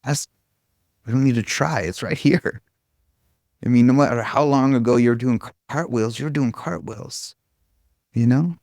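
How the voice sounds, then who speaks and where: A man's voice: medium pitch, measured pace, long pauses, a little mellow, no room sound, clean studio sound. A man giving an informal spiritual talk to a group as a meditation teacher, rambling and irreverent, with casual, self-deprecating humor. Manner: irreverent, casual, frequent swearing.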